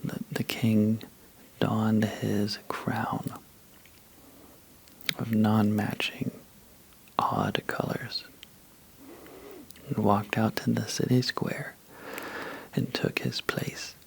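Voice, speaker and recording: A man telling a sleepy ASMR bedtime story in a soft, breathy half-whisper, halting and drowsy, with long pauses. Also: lowish voice, level tone, low-quality recording.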